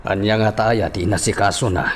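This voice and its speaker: An actor playing a concerned, questioning head of a household in a radio drama. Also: male voice, fairly low pitch, fairly even intonation, brisk, a little hiss or hum, close to an everyday voice.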